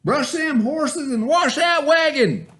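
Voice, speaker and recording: Shrill bossy cowboy brat, a man putting on the voice. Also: lively intonation, takes it slowly, a bit bright, heavily acted. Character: bossy spoiled young cowboy stepbrothers, male.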